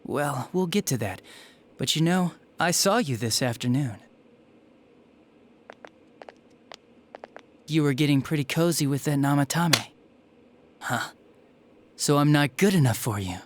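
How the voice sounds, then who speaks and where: A man acts out a young male detective gone bad in a video game. His voice sits a little high and swings widely as he sneers. He is smug, spiteful and flippant, playing everything up theatrically. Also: lively intonation, normal speed, a little hiss or hum, close to an everyday voice. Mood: wheedling resentment. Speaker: police detective. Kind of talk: dramatic video game voice acting.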